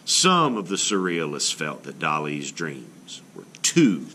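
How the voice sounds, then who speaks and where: A man reading a children's book aloud as an art teacher, in an expressive, explanatory way meant for children. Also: extremely low pitch, takes it slowly, a little hiss or hum.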